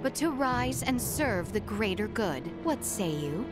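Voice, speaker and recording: An actress playing a proud young princess in a video game, speaking in formal, stern, courtly phrases. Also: female voice, medium pitch, sing-song, a little slow. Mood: stern, commanding. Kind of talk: dramatic video game voice acting. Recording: orchestral game music behind. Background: loud background music.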